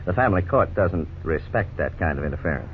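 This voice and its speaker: Deep-voiced radio lawyer-narrator. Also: male voice, expressive. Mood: earnest, explanatory. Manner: measured, didactic, earnest. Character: authoritative lawyer narrating a case history. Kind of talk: 1950s radio scripted narration. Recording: tinny, noisy 1950s radio recording.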